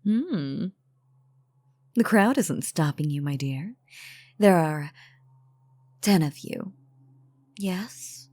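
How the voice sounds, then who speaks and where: A woman playing an elegant, calm and menacing vampire noblewoman in a scripted roleplay audio drama, teasing and condescending in genteel, formal phrases. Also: on the low side, very slow, long pauses, no room sound, exaggerated voice. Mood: taunting, disdainful.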